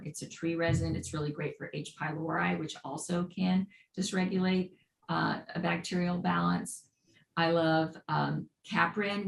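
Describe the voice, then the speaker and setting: A woman's voice: deep voice, vocal fry. A middle-aged woman, a guest on a health podcast, explaining with enthusiasm and playful turns of phrase. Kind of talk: podcast interview. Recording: roomy echo, faint background noise.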